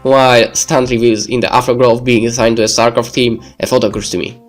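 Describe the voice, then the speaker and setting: A male let's player reads game narration aloud at an ordinary mid pitch. Soft game music runs underneath his voice. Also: little pitch movement, fairly quick. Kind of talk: reading visual novel narration aloud.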